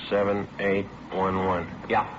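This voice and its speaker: An American actor playing a terse, businesslike police detective in a 1950s radio drama, clipped and deadpan. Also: male voice, deep voice, unhurried. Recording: muffled noisy vintage radio recording.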